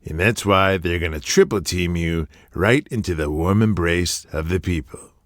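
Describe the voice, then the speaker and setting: A man's voice: deep voice, normal speed, no echo, studio quality, natural voice. A man playing a domineering, profane wrestling promoter in an audio drama, blustering and swearing. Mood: scheming.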